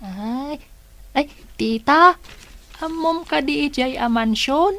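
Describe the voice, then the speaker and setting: A woman's voice: high voice, takes it slowly, a bit bright, full character voice. A Filipino woman playing a curious, naive child in a radio drama. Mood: wondering.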